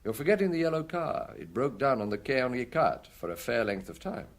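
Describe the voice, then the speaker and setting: An actor playing a shrewd, self-assured male detective inspector in a British radio crime drama, speaking assertively and deliberately. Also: medium pitch, very animated. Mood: confident, probing.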